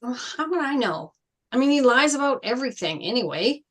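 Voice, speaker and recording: A woman playing a skeptical, dismissive high school boy in a table read of a film script. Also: sing-song, fairly quick. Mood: scornful, challenging. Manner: challenging, dismissive.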